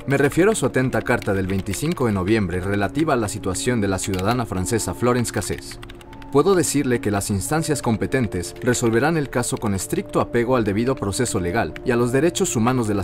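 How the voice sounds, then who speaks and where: An actor playing a head of state reading a diplomatic letter in a measured, official manner. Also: male voice, medium pitch, lively intonation, natural voice. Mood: formal. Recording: loud music under the voice.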